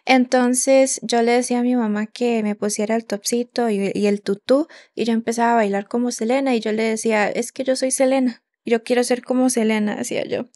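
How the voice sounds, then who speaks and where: A young woman who grew up in Canada talks in a loose, chatty way, filling her pauses with soft ums and ready laughs. Her voice sits a bit higher than most women's. The sound is close and dry, with no room echo. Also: fairly even intonation. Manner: chatty, with many fillers and quick laughs. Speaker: podcast co-host. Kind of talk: casual podcast chat.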